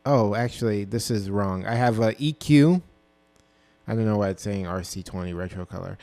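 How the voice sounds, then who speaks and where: A man in his twenties with a low voice, casually walking through his own song mix with plenty of ums and self-corrections, recorded on a dynamic mic in a small home studio. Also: moderate pace. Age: 20s. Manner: relaxed, rambling, full of ums and self-corrections. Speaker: music producer. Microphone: dynamic broadcast mic.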